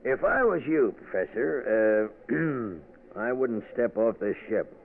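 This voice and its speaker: A man's voice: medium pitch, sing-song, slow pace, slight background noise. An actor playing a brash, slangy American insurance man in an old radio drama. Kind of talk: 1940s radio acting. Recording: tinny old radio broadcast.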